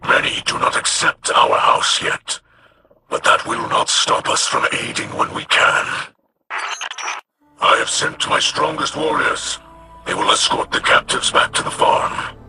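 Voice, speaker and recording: A man's voice: fairly high pitch, steady tone, measured pace, over loud music, natural voice, rough recording. A man playing an honourable, loyal alien house leader in a video game, terse and formal. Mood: reassuring. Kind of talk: scripted video game acting.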